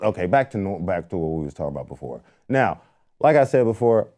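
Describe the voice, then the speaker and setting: A man, co-hosting a show and telling loose, animated stories full of slang. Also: on the low side. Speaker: podcast co-host. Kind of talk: casual chat.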